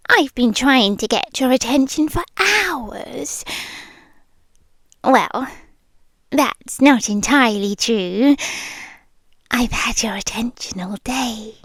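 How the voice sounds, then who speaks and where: Actress playing a female phantom in the listener's mind, ASMR drama: British, playful yet unsettling, soft whispery delivery, very slow and intimate, close and dry. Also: high voice, no room sound, heavily acted. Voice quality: soft, breathy, often whispering. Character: teasing, eerie female voice inside listener's head. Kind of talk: ASMR roleplay. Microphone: close, dry microphone.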